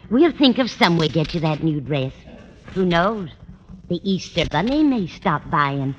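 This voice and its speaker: A woman's voice: on the low side, big pitch swings, normal speed. An actress playing a kindly, chatty, scatterbrained older landlady in a 1950s radio comedy, fussy and cheerful. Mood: reassuring. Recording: dull, faintly noisy old radio recording.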